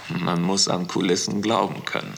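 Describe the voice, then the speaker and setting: Cynical old-radio-play clerk, deep creaky voice, sly and lecturing, bit of hiss. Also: male voice, level tone, normal speed. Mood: sardonic. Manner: sardonic, insinuating, coolly didactic. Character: cynical, unruffled male clerk of a murderous bureaucracy. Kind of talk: 1960s German radio drama acting. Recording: faintly hissy 1960s radio recording.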